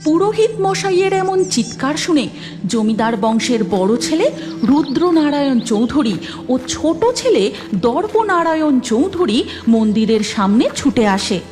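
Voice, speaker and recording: A woman's voice: high voice, big pitch swings, moderate pace, music in the background. A woman reading scripted narration for a devotional cartoon story.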